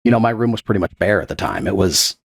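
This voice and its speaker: A man with a fairly low voice talks in a relaxed, chatty way, stringing thoughts together with fillers as he explains how his show is made. He speaks into a dynamic podcast microphone in a home room. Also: quick pace, slightly rough. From Texas. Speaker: podcast producer and game master. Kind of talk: casual interview chat. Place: untreated home room with bookshelves.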